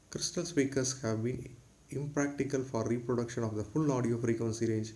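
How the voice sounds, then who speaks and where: A man's voice: mid-range voice, mellow, low-quality recording. A man giving a formal, scripted lecture, read aloud in a textbook-like way.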